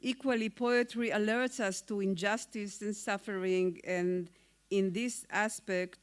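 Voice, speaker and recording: A woman whose first language is Hungarian delivers a formal acceptance speech as a poet. She reads slowly and deliberately, in a clear, smooth voice at an ordinary pitch for a woman. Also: steady tone. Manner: slow, measured, deliberate reading. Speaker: award-winning poet.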